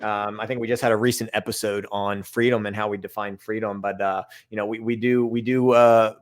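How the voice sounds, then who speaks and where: A man co-hosting a podcast with a raspy voice, chatty and loose, repeating himself as he riffs. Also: on the low side, flat delivery, a little fast. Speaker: podcast co-host. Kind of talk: casual podcast conversation.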